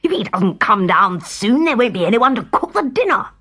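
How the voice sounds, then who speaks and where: A woman's voice: on the high side, sing-song, normal speed, sharp tone, husky, full character voice. A woman playing a bossy, greedy storybook king. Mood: cross, bossy. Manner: imperious, exclamatory. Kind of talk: storybook character voice.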